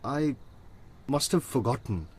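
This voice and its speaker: An actor playing a polite, evasive household secretary in a radio drama, with a husky voice. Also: male voice, mid-range voice, expressive, a little slow, natural voice.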